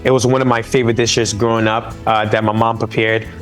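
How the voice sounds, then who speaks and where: A man's voice: fairly low pitch, flat delivery, a little fast, faint music behind. A man from Senegal, a chef guest on a live talk show, talking enthusiastically over a remote link. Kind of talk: remote interview.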